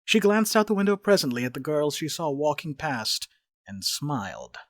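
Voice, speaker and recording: A man narrating a dramatic reading as a storyteller, with expressive, scripted delivery. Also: on the high side, moderate pace, no room sound, studio quality.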